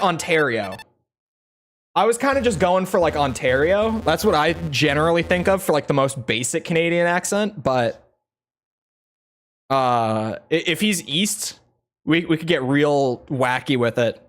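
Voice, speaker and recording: A man giving playful let's play commentary, chatty and quick to laugh. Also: on the high side, expressive. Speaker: video game streamer.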